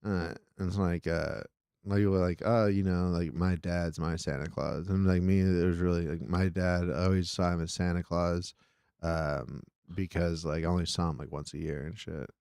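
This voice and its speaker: A man, a stand-up comic, talking in a rambling, halting, profane way. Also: low voice, level tone, creaky, a little mellow, smooth, no echo, studio quality. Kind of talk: casual chat.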